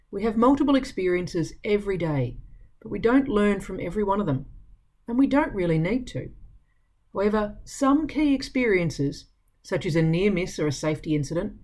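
Woman reading her column aloud, mid-pitched. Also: a little mellow. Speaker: columnist and safety director reading own column. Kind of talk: scripted column narration.

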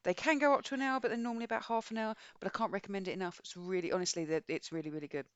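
A woman's voice: mid-range voice, fairly even intonation, racing, smooth, dry recording, lo-fi sound. Woman chatting about books, dry close sound. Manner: chatty, enthusiastic, rambling. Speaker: podcast co-host. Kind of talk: casual book chat.

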